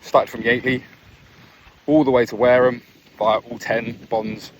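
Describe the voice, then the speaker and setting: A man's voice: medium pitch, flat delivery, normal speed, a little hiss or hum, rough recording. A man sharing earnest, reflective stories on an evening walk outdoors, talking casually with restarts. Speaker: vlogger. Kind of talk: casual motivational talk while walking. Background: faint outdoor ambience, a dog.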